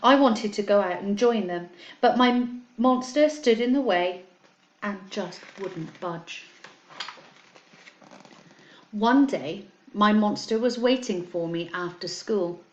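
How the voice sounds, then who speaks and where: A woman's voice: medium pitch, slow, long pauses, dull audio. A woman, a primary school teacher, reads a children's story aloud and talks to pupils, explaining simply and stressing words expressively. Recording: echoey, roomy sound.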